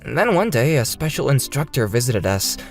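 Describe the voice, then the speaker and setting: Animated man narrating over music. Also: mid-range voice, normal speed. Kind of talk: scripted manga dub narration. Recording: music bed behind the voice.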